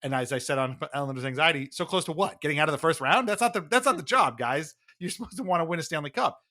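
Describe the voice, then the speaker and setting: A man co-hosting a sports podcast in casual chat, animated and sardonic, mockingly acting out what others say. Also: fairly high pitch, fast talker. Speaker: podcast co-host.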